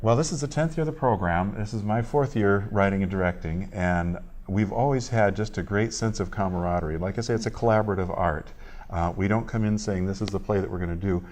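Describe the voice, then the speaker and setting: A man being interviewed as a theatre director, explaining a show in a measured way with short halting pauses. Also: on the low side, a little mellow.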